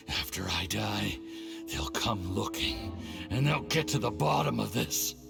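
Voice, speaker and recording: An actor playing an arrogant, scheming foreign envoy in a game cutscene, with a swaggering, threatening manner. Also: male voice, lowish voice, lively intonation, takes it slowly, vocal fry, over music, heavily acted. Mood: defiant. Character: scheming middle-aged male Fatui envoy, arrogant.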